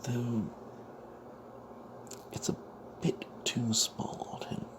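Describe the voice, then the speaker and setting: A man telling a fantasy tabletop tale in a breathy ASMR whisper, halting with long pauses. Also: mid-range voice, completely flat delivery.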